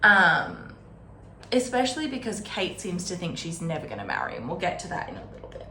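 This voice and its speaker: A woman's voice: lowish voice, wildly swooping pitch, brisk, bright, forceful tone. A woman chatting casually and wryly as she hosts a book discussion, often drifting into asides. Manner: chatty, wry, digressive with asides. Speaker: host of a book readalong series. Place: indoor room at a desk. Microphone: camera set on or near desk. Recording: roomy echo, background room noise.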